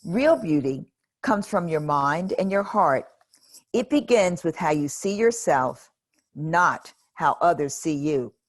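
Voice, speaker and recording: Loving mother, gentle and reassuring, read by a woman; low, unhurried voice. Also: expressive, exaggerated voice. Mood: tender. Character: loving, gentle mother comforting her daughter.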